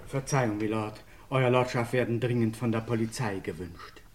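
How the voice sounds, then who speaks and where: An actor playing a stiff, ceremonious manservant in a German radio crime play. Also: male voice, mid-range voice, moderate pace, background noise, natural voice. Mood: deferential. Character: stiff, formal English manservant announcing to his lord.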